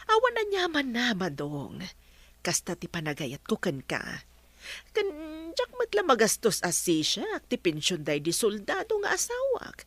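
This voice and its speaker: A woman's voice: medium pitch, wildly swooping pitch, a little slow, fairly bright tone, no room sound, exaggerated voice. A woman acting a fond, generous lady in a comedy drama. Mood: doting. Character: fond, generous soldier's widow or wife with a pension.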